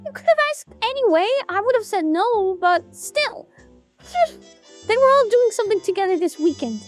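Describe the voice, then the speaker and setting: A woman's voice: very high voice, sing-song, moderate pace, faint music behind. A woman in an animatic audio drama playing a resentful, sardonic young idol who is bossy and blunt. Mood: playful, indignant. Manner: blunt, sarcastic, swearing.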